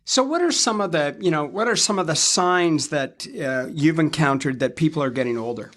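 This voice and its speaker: A man in his fifties with a fairly high voice for a man talks money matters in an easy conversational way. He is measured and thoughtful, often stopping to rephrase before going on. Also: lively intonation, fairly bright tone. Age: 50s. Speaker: financial advisor co-host. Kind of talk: conversational interview.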